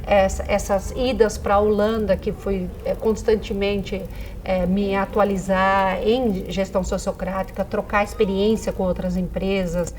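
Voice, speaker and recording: A woman's voice: mid-range voice, level tone, a little slow, a little mellow, a little hiss or hum, rough recording. A middle-aged woman, a native Dutch speaker with a slight Dutch-influenced Brazilian accent, giving reflective answers as an interview guest. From Brazil, rural Dutch-speaking community.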